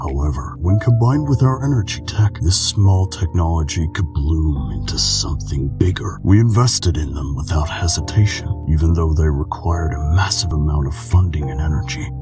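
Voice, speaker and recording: Man acting a very deep, weary solemn keeper. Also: moderate pace, hardly any pauses, over music. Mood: grave, reflective. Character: weary old keeper of memories, calm, solemn, melancholic. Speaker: amateur voice actor in a game fandub. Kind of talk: dramatic scripted monologue, game dubbing.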